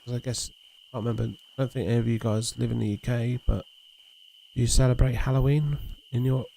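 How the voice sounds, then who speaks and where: A man hosting a game livestream, chatting in a relaxed, rambling way with a gravelly voice. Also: mid-range voice, brisk, a little hiss or hum, poor audio. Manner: relaxed, rambling, talks to chat and reads messages aloud. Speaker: livestream host. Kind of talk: casual chat while streaming a fishing game.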